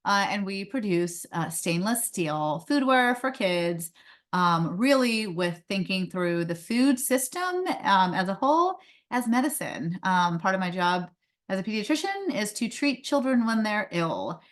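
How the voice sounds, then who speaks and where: A woman's voice: mid-range voice, animated, measured pace. Woman presenting casually on a webinar. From India. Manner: conversational, many um fillers, light laughs. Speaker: pediatrician and company founder.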